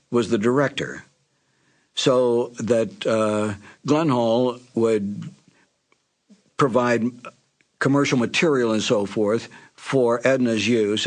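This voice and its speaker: A man's voice: on the low side, slightly rough. Older guy, ex radio announcer, telling old stories, easy chatty way, fixes his words as he goes. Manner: relaxed, conversational storytelling with small self-corrections. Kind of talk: reminiscing anecdote.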